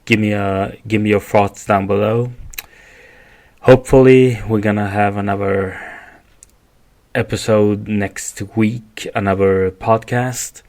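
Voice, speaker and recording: A man from Sweden with a Swedish accent, speaking English as a second language in a rambling, halting solo podcast monologue. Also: fairly low pitch, steady tone, a little mellow. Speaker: podcast host.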